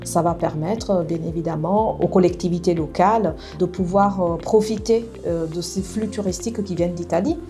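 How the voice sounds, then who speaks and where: Italian-accented woman, halting phrasing, music behind. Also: on the low side, warm tone. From Molise, Italy. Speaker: trade fair and events manager. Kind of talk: promotional interview presentation.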